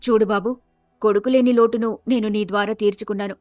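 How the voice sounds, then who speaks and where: A woman voicing a kindly, motherly character with a coaxing manner in an animated moral story. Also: medium pitch, fast, no echo, studio quality, soft background music, lightly acted. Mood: caring, coaxing.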